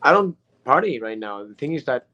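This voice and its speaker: A man's voice: medium pitch, very animated, brisk, bright, forceful tone, dull audio. Rambling man in his thirties. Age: 30s. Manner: rambling, repeats words and restarts often. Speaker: online business member. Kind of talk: casual testimonial chat.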